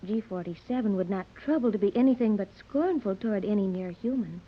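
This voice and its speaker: A woman's voice: medium pitch, fairly quick, dull audio, lightly acted. A woman playing an earnest, precocious fifteen-year-old girl who speaks in formal, bookish phrases, in 1930s radio serial style. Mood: grave. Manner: formal, bookish, precise wording.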